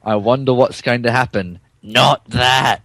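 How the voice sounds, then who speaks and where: A man's voice: mid-range voice, steady tone, unhurried, heavy background noise. A man co-hosting and narrating a comic dramatic reading, mock-dramatic and often stumbling over his words. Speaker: podcast co-host and narrator.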